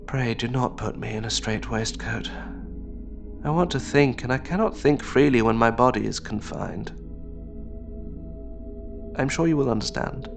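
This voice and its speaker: An actor playing a fervent, dignified asylum lunatic in an audio drama, formal, courteous and pleading. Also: male voice, medium pitch, measured pace, vocal fry, long pauses, music in the background. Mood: earnest pleading. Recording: long reverberant hall.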